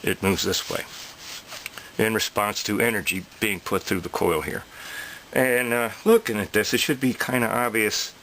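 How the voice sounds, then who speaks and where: A man giving an informal, unscripted technical explanation, haltingly thinking aloud and repeating points for emphasis. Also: lowish voice, pushed and bright, slight background noise, low-quality recording. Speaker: hobbyist presenter explaining electronics.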